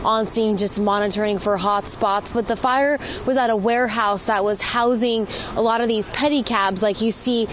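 A woman in her thirties reporting live breaking news in a measured broadcast delivery, speaking into a handheld microphone outdoors. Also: medium pitch, fairly even intonation. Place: outdoors on a city street at night. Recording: outdoor ambient street noise.

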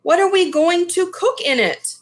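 A woman's voice: very high-pitched, expressive, moderate pace, voice pushed up. A woman voicing an exasperated, scolding poor mother from a children's storybook. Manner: scolding, questioning.

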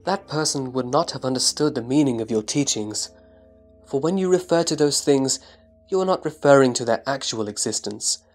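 A man's voice: mid-range voice, moderate pace, lightly acted. A man playing a reverent, thoughtful disciple, explaining carefully and deferentially in a scripture audio drama. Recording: music behind the voice.

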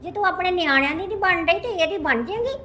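A woman's voice: very high voice, lively intonation, fast talker, pushed and bright, faint music behind, exaggerated voice. A woman playing an elderly mother in a family drama, plaintive and imploring, speaking. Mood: pleading, sorrowful. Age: older. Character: pleading, scolding elderly mother of a wayward son.

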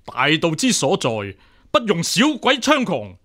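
Stern, swooping imperial examiner. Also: male voice, sits high, wildly swooping pitch, unhurried, full character voice. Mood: indignant. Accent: Hong Kong Cantonese. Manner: forceful, indignant, oratorical. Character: stern, righteous imperial examiner scornful of superstition. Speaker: actor. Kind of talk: radio drama acting.

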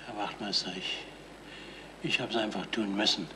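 A man's voice: fairly low pitch, steady tone, moderate pace, gravelly. An actor playing a sheepish, earnest, self-justifying man in a radio crime drama, with a Bavarian accent. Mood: sheepish, pleading. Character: sheepish, pleading suitor jealous of a dog. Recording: slightly hissy 1970s radio recording.

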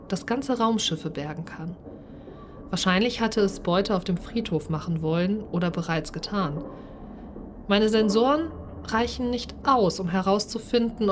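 A woman narrating a first-person story in an expressive, dramatised way. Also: mid-range voice, background noise. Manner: expressive, acts out the character's speech. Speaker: narrator and player of a solo role-playing game.

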